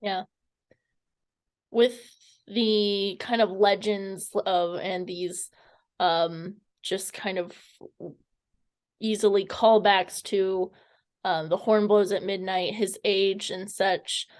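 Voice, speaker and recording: A woman interviewing someone in a conversational way, feeling her way through sentences with hesitant, halting phrasing. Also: mid-range voice, steady tone. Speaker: interviewer, fan club member.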